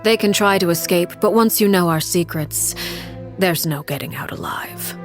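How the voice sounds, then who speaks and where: A woman plays a cold, commanding matriarch of an orphan household, a game character who never raises her voice. Her tone sits low for a woman and stays calm, measured and quietly threatening. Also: faint music behind, natural voice. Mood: cool menace. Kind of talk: video game dialogue.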